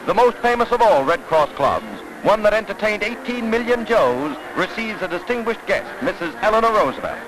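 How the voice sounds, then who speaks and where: A man's voice: high voice, lively intonation, normal speed, hardly any pauses, background noise. An American man narrating a 1940s newsreel in a declamatory, dramatic announcer style, on a vintage soundtrack. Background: orchestral newsreel music.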